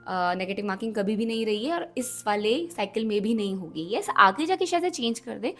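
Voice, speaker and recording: A young Indian woman with a slightly hoarse throat, explaining things to students in a chatty, emphatic, reassuring vlog style, switching between two languages. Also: mid-range voice, very animated, brisk, soft background music. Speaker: YouTube educator for exam aspirants. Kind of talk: casual explainer vlog in mixed Hindi and English.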